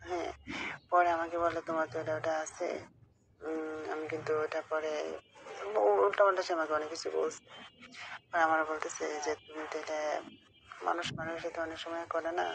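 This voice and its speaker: Bangladeshi woman on a recorded phone call, creaky voice, pretty monotone, rambles on to her friend. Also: low-pitched, moderate pace, pauses often, noisy background. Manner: rambling, often checking the listener follows. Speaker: caller telling a friend a personal story. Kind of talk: casual confiding phone chat.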